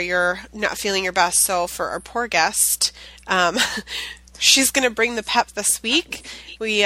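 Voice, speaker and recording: Sex: woman. Role: podcast co-host. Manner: chatty, rambling, full of ums. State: getting over the flu. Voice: bright and clear. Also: mid-range voice, no echo, poor audio. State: recovering from the flu, with a chest cough. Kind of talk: casual chat. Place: home office, at a standing desk.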